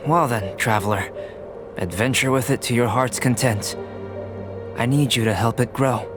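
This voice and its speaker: A calm young scholar-alchemist from a video game, acted by a man. He speaks at a medium pitch with measured, formal diction. His manner is analytical and composed, and music plays clearly behind him. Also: lightly acted. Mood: intrigued, formal. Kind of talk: video game character dialogue. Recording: background music under the voice.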